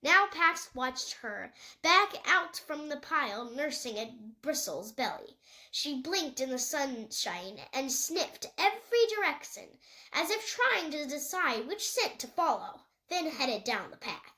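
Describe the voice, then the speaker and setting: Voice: high-pitched, sing-song, measured pace, pushed and bright, poor audio. A young reader, a child or young teen, narrating a story read aloud from a book. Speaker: audiobook reader.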